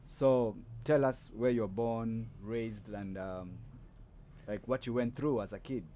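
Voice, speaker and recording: Mellow-voiced man hosting a podcast. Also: lowish voice, slow pace, a little hiss or hum. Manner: relaxed, rambling with many fillers and restarts. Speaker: podcast host. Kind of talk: casual interview chat.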